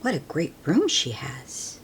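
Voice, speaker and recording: A woman reading a picture book aloud to children, expressive and sometimes hushed, with simple asides to her listeners. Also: deep voice, a little hiss or hum, low-quality recording. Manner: slow, expressive, hushed at times, explains words simply.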